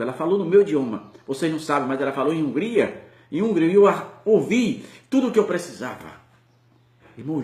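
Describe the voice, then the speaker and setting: Male preacher, Brazilian Portuguese accent, fervent swelling delivery, echoey church sound. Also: mid-range voice. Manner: impassioned, rising and falling preaching. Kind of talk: sermon.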